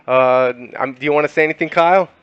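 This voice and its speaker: A man's voice: fairly high pitch, fast, smooth, no room sound, highs cut off. A young man hosting a gaming podcast, chatting loosely with many fillers and laughs. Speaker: podcast host. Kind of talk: casual podcast chat.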